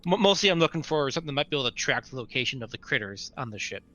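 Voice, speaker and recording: A man's voice: medium pitch, sing-song, very fast, pushed and bright, muffled recording. A man chatting casually as a tabletop game player, tentatively thinking aloud about his next move.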